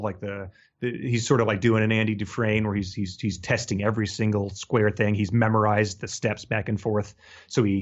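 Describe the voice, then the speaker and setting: A man co-hosting a casual, humorous book discussion, talking in a halting, rambling and amused way. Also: fairly low pitch. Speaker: podcast co-host. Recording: dull lo-fi audio.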